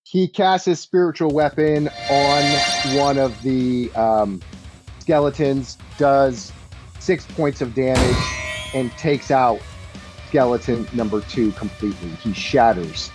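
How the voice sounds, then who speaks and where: A man's voice: mid-range voice, slow, over music. A man acting as game master, narrating tabletop combat turn by turn.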